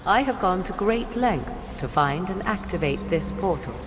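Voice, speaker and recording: A woman playing a proud, secretive witch in a video game, speaking in formal, archaic phrases with a haughty, measured manner. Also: fairly low pitch, lively intonation, soft background music. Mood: wary, cold. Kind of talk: dramatic video game acting. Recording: long hall reverb, clear background noise.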